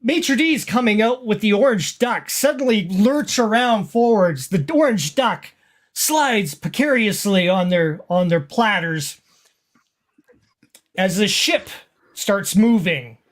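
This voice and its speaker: Slow-paced game master narrating. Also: medium pitch, animated. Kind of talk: narrating a tabletop roleplaying game.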